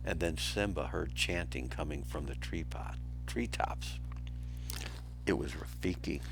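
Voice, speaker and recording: A man reading a children's story aloud in an expressive, deliberate, dramatic way, as a teacher does for pupils. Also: very deep voice, vocal fry, faint music behind.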